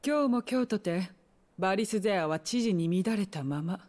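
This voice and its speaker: Casting: actress as haughty eccentric war scholar for a game, mid-pitched, cool, blunt, self-assured. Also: female voice, lively intonation, moderate pace, a little mellow, lightly acted. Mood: grave, lecturing. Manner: pompous, cool, blunt masculine phrasing. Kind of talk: Japanese video game cutscene acting.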